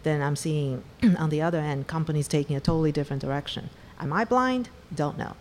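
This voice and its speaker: A woman's voice: low voice, brisk, in a large hall, slight background noise. A middle-aged woman from Taiwan, a venture investor telling her startup story in an interview, candid and blunt. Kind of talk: conversational interview.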